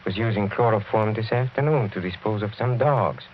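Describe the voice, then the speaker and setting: An actor playing a suave, half-mad older count with silky, courteous menace in a 1940s radio drama style. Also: male voice, lowish voice, normal speed, lightly acted. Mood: coldly menacing. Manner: silky, courteous, theatrically hesitant, chuckling. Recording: muffled, noisy vintage radio recording.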